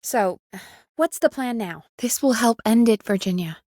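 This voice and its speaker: A woman's voice: a little high, unhurried, dry recording, clean studio sound. A woman voice actor playing an earnest, dedicated radio producer who gets exasperated easily, in natural video game dialogue. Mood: focused, urgent. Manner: earnest, expressive, exasperated at times.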